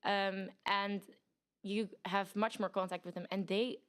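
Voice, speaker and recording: A woman's voice: mid-range voice, fairly even intonation, unhurried, fairly bright tone. Upbeat young woman. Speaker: sponsoring manager, podcast guest. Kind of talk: casual chat.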